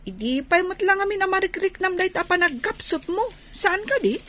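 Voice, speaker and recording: An actress playing a whiny, defiant and emotional young runaway daughter in a radio drama. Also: female voice, very high-pitched, animated, a little fast, a bit bright. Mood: worried. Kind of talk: Filipino radio drama acting. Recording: music behind the voice.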